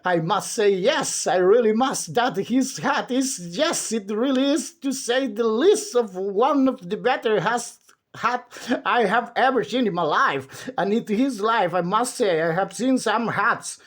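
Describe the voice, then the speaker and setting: A man voicing an excitable, cheerful storybook chatterbox who rambles fussily and keeps interrupting himself. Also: high-pitched, unhurried, pitched above the performer's own voice. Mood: pleased, gushing. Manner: breathless rambling, self-interrupting, fussy.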